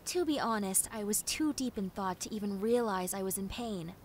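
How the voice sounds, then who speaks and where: A woman's voice: medium pitch, moderate pace, slight background noise, lightly acted. A woman voicing a clumsy, friendly young female zombie in an audio roleplay, rambling expressively with plenty of ums and dramatic emphasis. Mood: playful, animated. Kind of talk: character roleplay audio drama, one-sided conversation.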